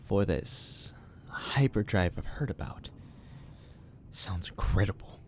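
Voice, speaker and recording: An actor playing a calm, measured Republic official who speaks in a dry, even way. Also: male voice, deep voice, slight background noise. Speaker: official, son of the corporate boss. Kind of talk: fan audio drama acting.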